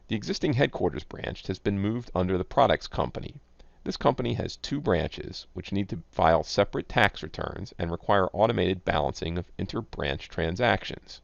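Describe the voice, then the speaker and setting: A man giving scripted, instructional narration as a software tutorial presenter, reading in a measured, enunciated way. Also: sits low, vocal fry, dry recording. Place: close, dry voice-over recording. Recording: dull, lo-fi close voice-over.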